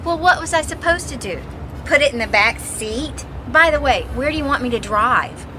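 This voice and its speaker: An actress playing an impulsive, naive young college student in a radio mystery, petulant, breezy and defensive. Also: female voice, high-pitched, expressive, moderate pace, background noise. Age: young adult. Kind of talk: radio mystery drama acting.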